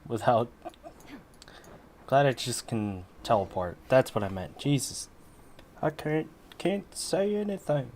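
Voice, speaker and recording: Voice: mid-range voice, expressive, slow, long pauses, a little mellow, slight background noise. A game streamer giving casual, informal let's play commentary and reading along with the dialogue.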